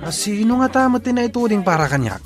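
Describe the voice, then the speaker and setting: A man's voice: high voice, very animated, quick pace, faint music behind. A man with an Ilocano accent acting as a jealous, hot-headed young jilted son in a radio drama. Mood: bitter. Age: young adult.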